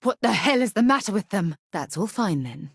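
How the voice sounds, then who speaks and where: A woman's voice: mid-range voice, expressive, normal speed, creaky, husky, no room sound, clean studio sound. An actress playing an earnest, principled woman space explorer in a video game, speaking in a composed, articulate, rather formal way. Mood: stern, exasperated, then polite, measured.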